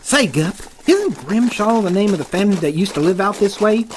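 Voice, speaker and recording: A man's voice: high-pitched, animated, a little fast. Casual cowboy sidekick fish male actor for a comic audio western, folksy and easygoing, slight background noise. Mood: amused, curious.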